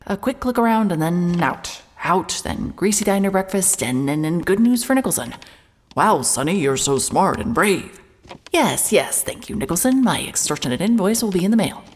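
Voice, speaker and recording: A woman's voice: on the low side, animated, normal speed, a little mellow. A woman acting as a hard-hearted, wry and nervy private investigator in a cinematic audio drama, muttering to herself as she works. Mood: nervous, then self-mocking. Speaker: lead actor and narrator of an audio drama. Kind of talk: cinematic audio drama, first-person noir narration and case dictation.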